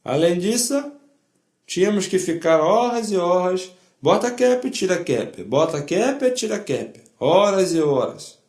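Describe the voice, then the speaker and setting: An older man with a foreign accent in Portuguese, giving spoken testimony in a deliberate, emphatic way with short repeated phrases. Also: high-pitched, animated, a little mellow, echoey room. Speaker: Holocaust survivor giving testimony. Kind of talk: spoken first-person testimony to an audience.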